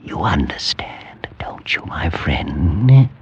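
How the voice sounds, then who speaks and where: An actor playing the tormented, half-mad last heir of an accursed house, with a feverish, theatrical, brooding delivery in classic radio drama style. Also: male voice, low voice, big pitch swings, takes it slowly, whispered, highs cut off, heavily acted. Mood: paranoid.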